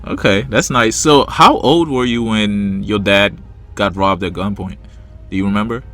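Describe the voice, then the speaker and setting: Male podcast host with a lowish voice, halting questions, and faint music underneath. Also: fairly bright tone. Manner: hesitant, repeats words. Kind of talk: casual interview.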